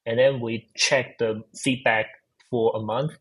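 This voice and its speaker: A man's voice: medium pitch, steady tone, unhurried, a bit bright, husky, poor audio. A man with a Chinese accent answering interview questions, hesitant with many fillers and restarts. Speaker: guest, AI researcher. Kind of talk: casual technical interview.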